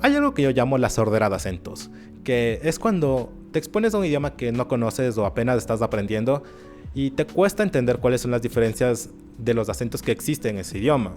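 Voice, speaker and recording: A man giving animated, sarcastic commentary in an opinion video. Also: medium pitch, fairly quick. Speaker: YouTube commentator. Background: faint background music.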